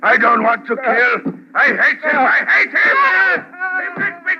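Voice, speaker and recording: An actor playing a simple-minded, tormented giant in halting broken speech, in a 1940s radio drama. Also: male voice, very high voice, animated, gravelly, exaggerated voice. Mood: anguished, enraged. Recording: noisy muffled old-time radio recording.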